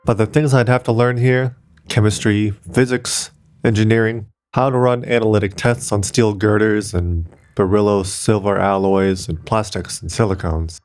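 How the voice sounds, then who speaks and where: Man reading as a tired old archaeologist guy, low voice, gentle, resigned. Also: steady tone, moderate pace, natural voice. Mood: weary. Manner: gentle, reflective. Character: old weary male archaeologist, kindly and resigned. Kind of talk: audiobook character reading.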